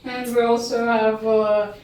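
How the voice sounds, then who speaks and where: Young Russian-native woman guest, slow and hesitant, a little high, miked from a distance. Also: level tone, a little mellow, a little hiss or hum. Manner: hesitant, many fillers, wry. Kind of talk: casual chat. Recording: echoey room, far from the mic.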